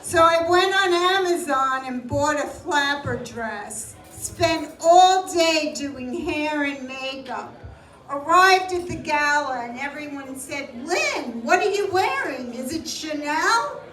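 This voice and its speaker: A woman doing a live stand-up set, telling self-mocking stories in a loud, wry voice. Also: high voice, lively intonation, slow, faint music behind. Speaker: stand-up comedian. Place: small live venue with audience. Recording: roomy echo, distant mic.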